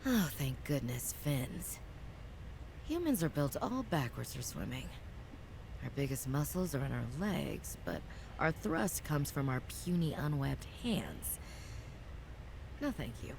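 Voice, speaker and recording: A woman's voice: deep voice, big pitch swings, unhurried, creaky, pauses often, darkish tone, slight background noise, exaggerated voice. A woman acting a wry, determined scientist explorer in a video game, in a conversational inner monologue. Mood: wry relief.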